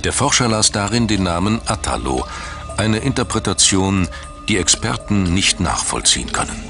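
Very deep male documentary narrator. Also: very animated, moderate pace, over music.

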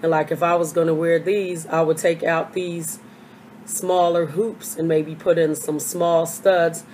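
An older woman, hoarse from a cold, chatting casually through a haul video at her webcam with lots of fillers. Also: low-pitched, flat delivery, measured pace, a little mellow, slight background noise. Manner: chatty, rambling with many fillers. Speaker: vlogger. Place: small bedroom. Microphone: webcam at desk.

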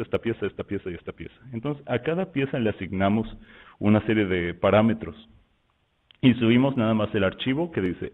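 A man from Mexico with a Mexican Spanish accent, walking through software in a loose, conversational webinar style full of fillers and restarts. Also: on the low side. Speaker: software presenter. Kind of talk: webinar product walkthrough. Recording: tinny phone-like line.

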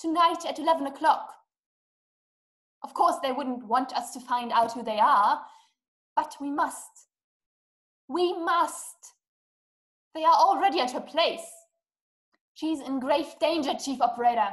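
A woman's voice: high-pitched, measured pace, pauses often, a bit bright. A young woman playing a distraught, imperious invalid wife in a play, speaking with dramatic, emphatic, formal diction. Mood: urgent pleading. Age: young adult. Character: distraught, imperious, self-pitying invalid wife, desperate and impatient. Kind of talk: theatrical stage-play acting over video call. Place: small dim room at home. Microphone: webcam video call.

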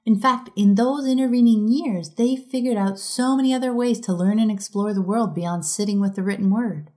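Middle-aged female host, measured reflective read of a prepared talk, mid-range pitch. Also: darkish tone. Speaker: podcast host giving a conference talk.

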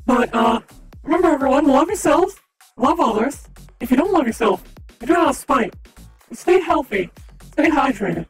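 Really high-pitched guy streaming, a bit raspy, chatty and upbeat, music going in the background. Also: expressive, normal speed, long pauses. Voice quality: slightly raspy. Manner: chatty, upbeat, talks to chat. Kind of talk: casual live gaming stream chat.

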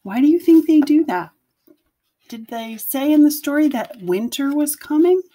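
A woman's voice: high-pitched, lively intonation, moderate pace, mellow. A woman teacher reading a picture book aloud to children, explaining things simply and putting questions to her listeners.

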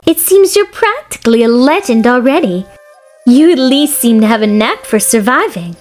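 A woman's voice: a little high, expressive, moderate pace, a little mellow, natural voice. A woman playing a vivacious, gossip-loving noblewoman in a game fan dub, gushing and theatrical. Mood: excited, gushing. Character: vivacious, gossip-loving young noblewoman ruling a wealthy city. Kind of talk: fan dub voice acting of a fantasy story game. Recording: music behind the voice.

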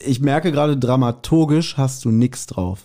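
A man's voice: medium pitch, moderate pace, dark tone. A man co-hosting a podcast in casual chat, teasing with dry sarcasm. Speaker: podcast co-host.

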